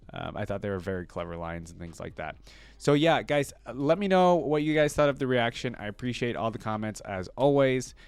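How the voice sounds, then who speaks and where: A young man with a clean, smooth voice gives casual reaction commentary. He rambles informally like a fan, at an average pitch, recorded close and dry. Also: dry recording. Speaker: YouTube reaction host.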